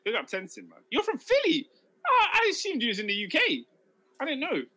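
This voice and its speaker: Guy born in uganda, hosting a chat stream, lively pitch, laughs a lot. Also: brisk. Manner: animated, emphatic, laughs often. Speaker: livestream co-host. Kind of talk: casual chat reading viewer comments.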